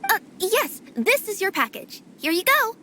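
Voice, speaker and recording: A woman's voice: very high-pitched, very animated, a little slow, pushed and bright, slight background noise, full character voice. A woman voicing an earnest, honest young female cat-spirit courier who gets flustered easily, in a game cutscene. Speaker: delivery courier.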